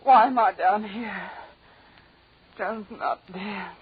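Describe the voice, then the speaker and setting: An actress playing a terrified captive wife in an old radio drama, pleading in halting, melodramatic bursts of grief. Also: female voice, medium pitch, a little hiss or hum, natural voice. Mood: terrified, disbelieving. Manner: breathless, melodramatic, halting pleas. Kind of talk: 1940s radio drama acting. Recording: dull, lo-fi old radio recording.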